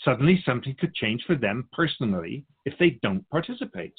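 Man as otherworldly sage, thin phone-like sound, husky, lively intonation, patient philosophical teaching, measured explanations. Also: medium pitch. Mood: thoughtful, encouraging. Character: otherworldly spirit Keeper, wise, patient observer of humankind. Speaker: guest sage on a radio show.

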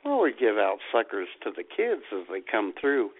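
A man co-hosting a casual comedy radio show, telling stories in an animated, exasperated way. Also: on the low side, moderate pace. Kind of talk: casual comic banter and storytelling. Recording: tinny phone-line internet radio sound.

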